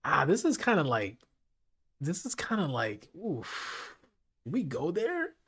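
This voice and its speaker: A man running a late-night live stream, talking casually and directly to his chat, with his voice gone hoarse from hours of talking. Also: a little high, huge pitch swings, takes it slowly, fairly bright tone. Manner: casual, chatty, talks straight to his chat.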